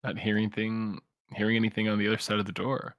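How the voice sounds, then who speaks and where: A man's voice: on the low side, quick pace, no room sound, highs cut off. A man narrating as game master of a tabletop roleplaying session, laid-back and laughing easily. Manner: relaxed descriptive narration, laughs often.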